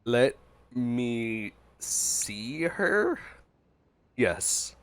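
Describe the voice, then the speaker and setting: A man's voice: medium pitch, sing-song, drawn-out words. A man with a congested voice from a cold, giving casual, joking reaction commentary as he streams a game. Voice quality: stuffy, congested. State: getting over a cold.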